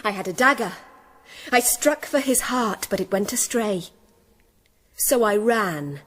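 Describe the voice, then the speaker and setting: An actress playing a headstrong, grieving young noblewoman in a radio drama, declaiming deliberately and fiercely. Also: medium pitch, animated, fairly bright tone. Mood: bitter accusation. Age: young adult.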